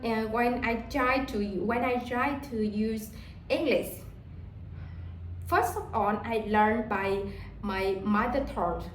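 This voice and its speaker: A woman from Vietnam with a Vietnamese accent, talking casually in English about how she learns it, halting and often repeating words as she searches for them. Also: on the high side, roomy sound, a little hiss or hum, poor audio.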